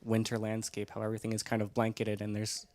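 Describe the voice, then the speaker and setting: A man's voice: fairly low pitch, monotone, fast, a little mellow, no echo. A man giving an informal, reflective explanation as a participant in a group discussion. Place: live group event.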